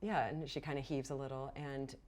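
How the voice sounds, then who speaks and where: A woman acting as game master, improvising narration and explaining rules in a halting, animated way. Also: very deep voice.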